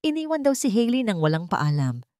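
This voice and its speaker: A woman's voice: mid-range voice, very animated, fairly quick, a little mellow, no room sound, clean studio sound, pitched above the performer's own voice, close to an everyday voice. A woman voicing a polite private investigator who reports matter-of-factly, with a Filipino accent. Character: deferential private investigator reporting to wealthy client.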